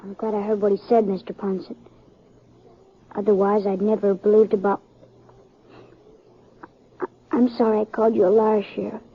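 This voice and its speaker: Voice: mid-range voice, flat delivery, moderate pace, pauses often, husky. A young performer playing an earnest, polite country boy in a 1950s radio western, speaking hesitantly. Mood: earnest, troubled. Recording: muffled old radio recording.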